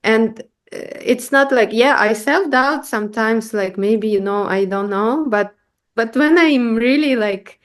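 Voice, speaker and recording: Halting vocal coach woman, slow speech. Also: on the high side. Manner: halting, many restarts, explains with examples. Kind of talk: conversational interview answers.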